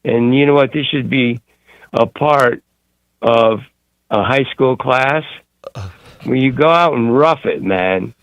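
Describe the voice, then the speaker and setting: A man calling in to a talk radio show, telling a long rambling story in a gruff way. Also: mid-range voice, slow pace, pauses often, no echo, highs cut off. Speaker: caller.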